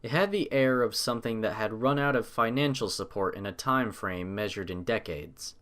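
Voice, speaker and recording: A man's voice: lowish voice, moderate pace, a bit bright. A man narrating a scripted story with a dramatic storytelling manner.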